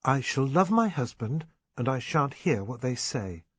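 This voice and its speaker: A man voicing a loyal, tender young English woman in an audiobook novel, soft, questioning and troubled. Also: medium pitch, sing-song, a little slow, breathy edge, dry recording, exaggerated voice. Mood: tender, firm.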